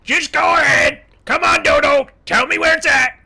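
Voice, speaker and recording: Screechy, gravelly, blustering Southern boss. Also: male voice, extremely high pitch, fairly even intonation, normal speed, pushed and bright, exaggerated voice. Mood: exasperated, demanding. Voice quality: raspy, squawky. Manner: barking orders and insults. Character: bossy, impatient, blustering male small-town Southern boss. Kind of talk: improvised comic game roleplay.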